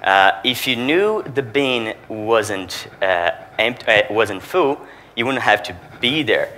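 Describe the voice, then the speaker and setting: A man's voice: on the low side, expressive, takes it slowly, sharp tone, a little hiss or hum. A man who speaks Portuguese as his first language, with a Portuguese accent, giving a conference presentation as a sales manager and explaining with rhetorical questions to the audience.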